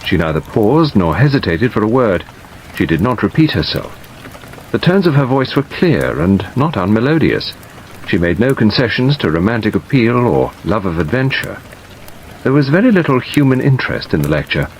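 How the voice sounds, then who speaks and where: A man reading literary narration as an audiobook narrator, in a measured scripted delivery. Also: lowish voice, slight background noise, poor audio. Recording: steady rain sound over the reading. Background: rain.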